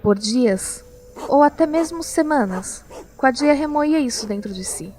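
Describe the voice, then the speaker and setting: Narradora brasileira de voz aguda. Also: female voice, high-pitched, expressive, a little slow, mellow, a little hiss or hum. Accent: Brazilian. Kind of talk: scripted audio drama narration. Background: music.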